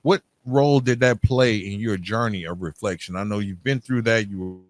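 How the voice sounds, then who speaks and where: A man's voice: lowish voice, normal speed, dry recording. A man hosting a podcast, asking questions and chiming in casually as an interviewer.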